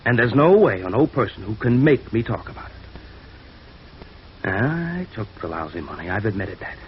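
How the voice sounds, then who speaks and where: A man acting a bitter, stubborn, defensive jailed embezzler in a 1950s radio noir drama, agitated and often halting. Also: on the low side, big pitch swings. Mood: defiant. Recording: muffled, noisy old radio recording.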